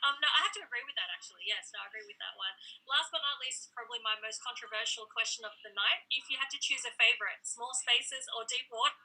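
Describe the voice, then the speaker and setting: A woman's voice: fairly high pitch, quick pace, few pauses, bright, forceful tone, breathy edge, low-quality recording. A woman with an Australian accent hosting a casual online interview in a chatty, bubbly, playful way. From New South Wales, Australia. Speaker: interview host.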